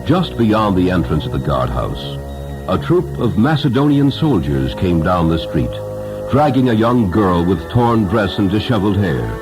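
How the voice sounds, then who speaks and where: A man with a very low voice reads a story aloud as a dramatic narrator. His delivery is solemn and deliberate, with wide, expressive swings in pitch, and music plays clearly behind him. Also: low-quality recording. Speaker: narrator, audiobook reader.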